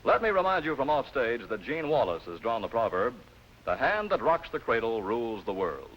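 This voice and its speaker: A man's voice: mid-range voice, big pitch swings, normal speed, fairly bright tone, a little hiss or hum, poor audio. An American man announcing a 1950s television show in scripted broadcast style. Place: off-stage in TV studio.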